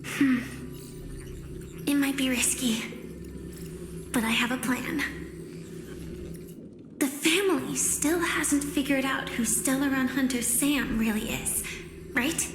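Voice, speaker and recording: An actress voicing an earnest, determined young woman fighter in an anime game, speaking softly. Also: sits high, slow pace, long pauses. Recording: echoey reverberant hall, music behind. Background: game music.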